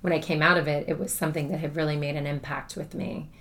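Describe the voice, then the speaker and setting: Woman, low voice that carries, chatty w/ lots of ums. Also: fairly even intonation, fast talker, fairly bright tone. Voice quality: projecting voice. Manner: chatty, thinks aloud with many fillers. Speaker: guest, spiritual teacher. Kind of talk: casual conversation.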